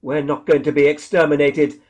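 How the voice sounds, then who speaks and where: An actor playing a jumpy, defiant militiaman surviving alone, with a folksy, halting, agitated delivery in a radio play. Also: fairly high pitch, little pitch movement, a little mellow, natural voice. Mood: defiant, scheming. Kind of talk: dramatic radio play dialogue.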